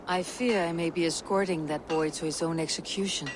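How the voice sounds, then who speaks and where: A woman playing a stern, confident Viking chieftain in a video game, speaking in a measured, commanding way. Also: on the low side, steady tone, noisy background, close to an everyday voice. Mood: firm. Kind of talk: dramatic video game acting.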